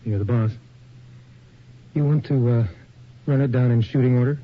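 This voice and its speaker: Young male assistant actor, easygoing and casual, medium pitch, muffled radio drama sound. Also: fairly even intonation, normal speed, close to an everyday voice. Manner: casual, slangy. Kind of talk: 1980s radio drama acting. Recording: muffled, faintly noisy old radio recording.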